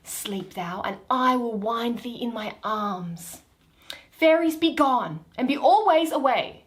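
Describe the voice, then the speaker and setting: A woman acts a fairy queen besotted with her beloved in a verse stage comedy. Her voice is fairly high and moves slowly, tender and adoring, with wide swings of pitch. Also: lively intonation. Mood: tender, enraptured. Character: Titania, doting, lovestruck fairy queen.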